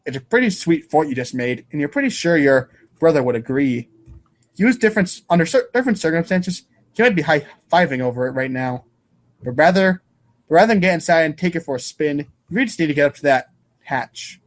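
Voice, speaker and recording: A man's voice: a little high, lively intonation, a little fast. A man narrating an informal comic read-aloud show in a playful, theatrical manner. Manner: playful, theatrical, voices sound effects.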